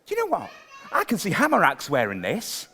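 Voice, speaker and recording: A pompous, fussy king in broad stage panto style. He is posh and dithering, and his pitch leaps extremely widely. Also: huge pitch swings, a little fast, sharp tone, slight background noise, exaggerated voice.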